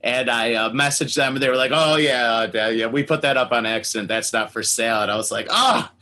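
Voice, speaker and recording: A man's voice: medium pitch, fairly quick, sharp tone, slight background noise. A man hosting a casual fan interview, talking in an enthusiastic, slangy way with chuckles. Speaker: podcast host and cartoon collector.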